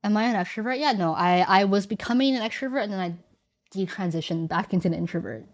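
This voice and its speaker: A woman's voice: mid-range voice, quick pace. A woman chatting casually on a live stream and reading out chat messages, her voice worn out and fading. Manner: chatty, reads chat aloud. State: losing her voice.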